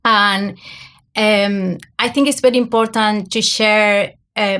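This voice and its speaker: A woman's voice: on the high side, a bit bright. A woman from Argentina, a native Spanish speaker, speaking accented English as a podcast guest in a conversational interview. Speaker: podcast guest, academic coach.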